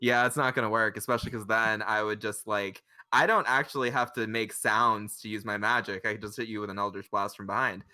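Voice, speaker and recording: Bright-voiced, talkative man gaming. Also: lowish voice, steady tone, fairly quick, hardly any pauses, no echo. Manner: talkative, cuts in on others. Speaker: player in a tabletop roleplaying game. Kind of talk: casual game chat.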